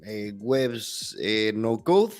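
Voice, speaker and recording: A man's voice: lowish voice, big pitch swings, very slow, faint music behind. Inquisitive podcast host man. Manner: playful, inquisitive, interrupting with questions. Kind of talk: casual podcast interview.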